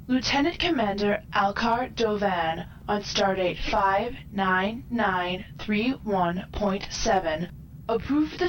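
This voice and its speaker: A woman voicing an emotionless, precise starship computer in a science fiction audio drama, formal and measured. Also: medium pitch, a little hiss or hum, lightly acted, poor audio. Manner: flat, formal, measured announcing.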